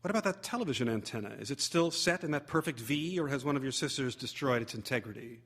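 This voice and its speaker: A man's voice: mid-range voice, expressive, fast, a bit bright, slightly rough. A man voicing a nagging, obsessive inner voice in a story, insinuating and falsely casual. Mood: needling.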